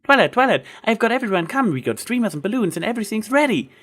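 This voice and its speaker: Man putting on a high, bubbly party pony girl. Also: quick pace. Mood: eager. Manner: excitable, exclaiming. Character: hyperactive young female party pony, bubbly and excitable. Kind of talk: amateur fan fiction reading.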